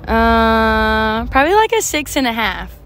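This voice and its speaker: Hesitant young woman, slow and high. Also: sing-song, a bit bright, a little hiss or hum. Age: young adult. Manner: hesitant, shy, filler-heavy. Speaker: interviewee. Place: outdoor street at night.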